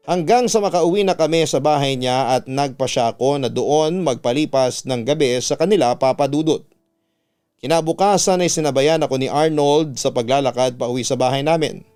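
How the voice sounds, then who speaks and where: A man's voice: mid-range voice, darkish tone, clean tone. A man who works as a radio announcer, narrating a dramatic first-person story. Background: background music.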